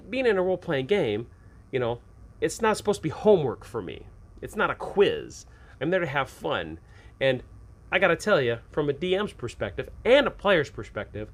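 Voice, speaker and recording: Emphatic man giving informal advice. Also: on the high side. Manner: conversational, emphatic, repeats points. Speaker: video host and game master. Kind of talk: informal advice talk to viewers about running tabletop games.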